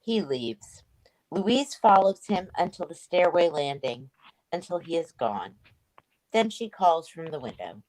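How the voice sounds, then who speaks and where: A woman's voice: lowish voice, sing-song, measured pace, a little mellow, no room sound, low-quality recording. A woman reading stage directions as scripted narration for a play.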